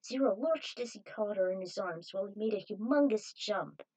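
A woman's voice: medium pitch, expressive, moderate pace, dark tone. A woman reading a scripted adventure story aloud as narrator, in a dramatic manner.

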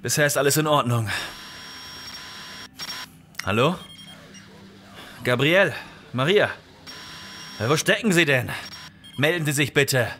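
An actor playing a tense, sardonic polar-station scientist in an audio thriller, speaking formally and with urgency. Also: male voice, on the high side, lively intonation, slow, long pauses, pushed and bright, slight background noise, exaggerated voice. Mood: uneasy.

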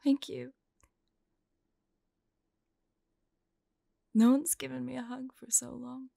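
A woman acts out a forlorn young huntress in an intimate audio roleplay. She speaks slowly and hesitantly, soft enough to slip into whispers, with a creaky fry at the edges. The sound is dry and close, and her manner is guarded yet vulnerable and affectionate. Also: a little high, no echo, full character voice. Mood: tearful relief. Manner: hesitant, soft-spoken, halting, often drifting into whispers.

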